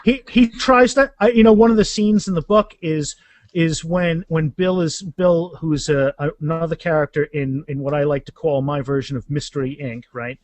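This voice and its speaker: A man's voice: on the high side, normal speed, darkish tone, dry recording. A man talking casually as an author in a podcast interview, often restarting and repeating words. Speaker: author being interviewed about their fantasy series.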